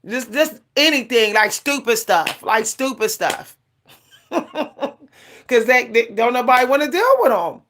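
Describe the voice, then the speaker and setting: A woman's voice: fairly high pitch, expressive, takes it slowly, fairly bright tone. A woman, ranting casually on her livestream with sass, repeating herself and laughing often. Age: 40s. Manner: sassy, repetitive, laughs often, colloquial. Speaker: livestream host.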